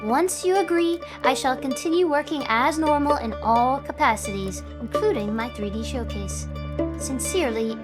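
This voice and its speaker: A woman's voice: high-pitched, moderate pace, loud music behind the voice, close to an everyday voice. A woman playing a scheming, defiant young idol streamer in a scripted audio drama, insistent and theatrical. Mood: formal, demanding.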